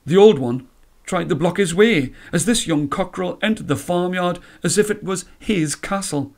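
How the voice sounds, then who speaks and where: A man reading a story aloud as a storyteller, with measured, dramatic phrasing. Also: sits high, gravelly, darkish tone. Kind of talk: expressive scripted storytelling.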